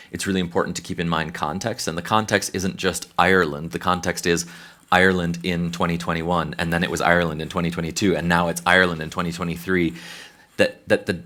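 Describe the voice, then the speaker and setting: American male consultant, very low voice, thoughtful panel talk with restarts. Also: a bit bright. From United States. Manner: thoughtful, hesitant restarts, conversational. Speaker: behavioral science consultant.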